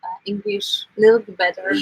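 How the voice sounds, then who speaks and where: Husky Polish woman, halting chat. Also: mid-range voice, sing-song, poor audio. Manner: halting, filler-heavy. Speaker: co-host of a sailing channel livestream. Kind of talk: casual livestream chat. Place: on a boat.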